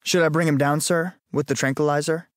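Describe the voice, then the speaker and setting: A man voicing a dutiful, literal-minded junior agent in a deadpan, deferential manner. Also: fairly high pitch, brisk, no room sound, pitched above the performer's own voice, close to an everyday voice.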